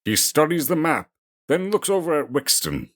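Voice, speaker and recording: A man's voice: a little high, huge pitch swings, moderate pace. A man narrating an audio drama with dramatic scripted storytelling delivery. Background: music.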